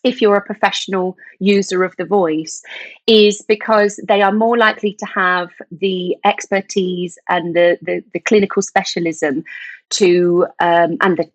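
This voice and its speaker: A woman's voice: fairly low pitch, steady tone, a little slow, no room sound. A middle-aged woman from England, a voice specialist, explaining things thoughtfully in a conversational interview with many fillers. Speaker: voice specialist guest.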